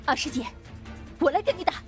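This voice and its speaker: Woman acting a lively, high-voiced young swordswoman. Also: fairly quick, soft background music. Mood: flustered, alarmed. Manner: hesitant, coaxing, theatrical. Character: playful, earnest young female swordswoman, a junior disciple. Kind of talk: Chinese wuxia radio drama acting.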